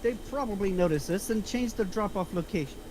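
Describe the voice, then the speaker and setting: A man acting as a young slacker with a high voice. He is casual and sweary, in an amateur table read with faint noise in the background. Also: a little fast, lightly acted. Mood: startled. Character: laid-back, foul-mouthed young slacker man, Danny.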